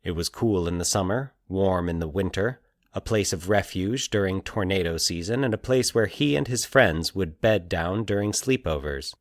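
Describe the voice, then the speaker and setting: A man narrating scripted eerie fiction in a measured, deliberate, formal storytelling manner. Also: low voice, dry recording, clean studio sound.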